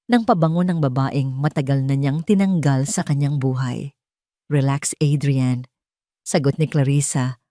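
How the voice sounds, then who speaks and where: A woman's voice: low-pitched, mellow, no room sound, studio quality. A woman reading scripted narration for a romance audio drama.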